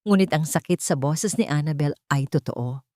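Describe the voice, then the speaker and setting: A woman's voice: deep voice, moderate pace, mellow, dry recording, studio quality. A woman reading a dramatic romance story aloud as narrator. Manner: dramatic storytelling, reads all parts herself.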